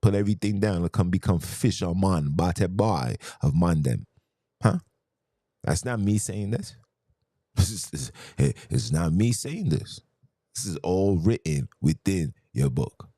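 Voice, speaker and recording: Low, dark-voiced argumentative man. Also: expressive, measured pace, no room sound, clean studio sound. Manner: argumentative, rhetorical questions, emphatic. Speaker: podcast host. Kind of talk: heated monologue.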